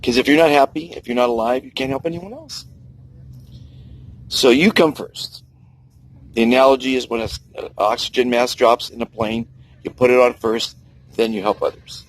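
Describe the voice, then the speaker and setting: A man's voice: mid-range voice, normal speed, pauses often, rough recording. A man in his sixties talking earnestly to camera outdoors by the sea, preaching kindness with emphatic swings in his voice. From United States. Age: 60s. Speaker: kindness vlogger. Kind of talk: outdoor personal monologue.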